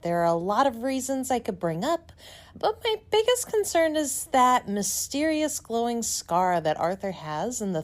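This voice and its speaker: A woman's voice: a little high, darkish tone. A woman giving a prepared but conversational voice message as a listener, upbeat and playful.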